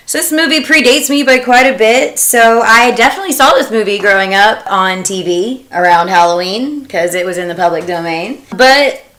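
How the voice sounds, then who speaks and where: A woman's voice: medium pitch, a bit bright. A woman in her twenties co-hosting a podcast in casual chat, talking in a self-deprecating way with many fillers. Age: 20s. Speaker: podcast co-host.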